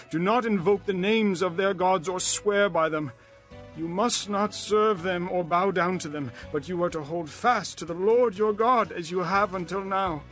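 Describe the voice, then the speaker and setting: Casting: elderly biblical leader, grave, emphatic oratory, raised pitch, scored with music. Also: male voice, fairly bright tone. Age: older. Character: aged male leader of Israel, solemn and exhorting. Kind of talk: dramatized scripture reading, oratory.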